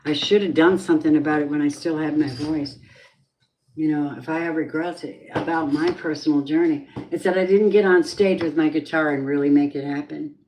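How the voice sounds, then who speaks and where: A middle-aged woman with a low voice, chatting in a rambling, casual way as she gives a tarot reading on a live stream. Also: steady tone, a little fast, a little mellow, slight background noise, poor audio. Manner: chatty, rambling, drifting off topic. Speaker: tarot reader.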